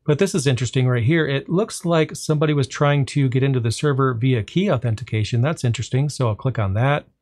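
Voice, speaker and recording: A man hosting a tech tutorial, explaining software step by step in a relaxed way, often pausing on a drawn-out "well" before the point. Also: mid-range voice. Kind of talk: screen-recorded software walkthrough.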